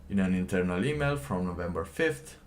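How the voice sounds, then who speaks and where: A man giving casual livestream commentary and reading documents aloud, halting and rambling, often pausing mid-sentence. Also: low voice, expressive, slight background noise. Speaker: livestream host.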